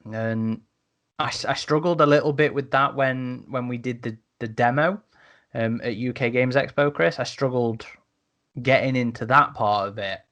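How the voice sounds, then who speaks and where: A man's voice: mid-range voice, fairly even intonation, normal speed, fairly bright tone, lo-fi sound. A man hosting a casual podcast discussion, with hesitant, stop-start phrasing and repeated words. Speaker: podcast host.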